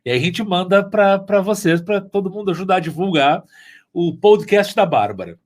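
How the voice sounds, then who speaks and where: A man's voice: high voice, expressive, normal speed. A man chatting casually on a podcast, effusive and playful. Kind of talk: casual chat.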